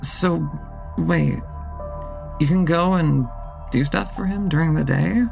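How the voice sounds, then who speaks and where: A woman's voice: low-pitched, slow pace, creaky, a little mellow, faint music behind, heavily acted. A woman playing a nervous, polite young vampire in an audio drama, hesitant and stammering. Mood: curious.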